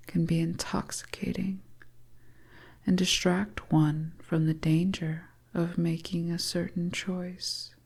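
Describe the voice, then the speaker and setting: A woman's voice: lowish voice, darkish tone, husky, full character voice. A woman voicing a seductive, commanding witch fortune-teller in a whispered hypnotic ASMR roleplay, coaxing her listener.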